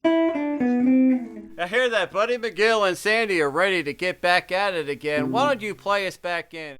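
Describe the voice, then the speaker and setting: A man's voice: high voice, unhurried, loud music behind the voice. A man hosting in a folksy, old-time radio announcer style, interviewing and presenting the show.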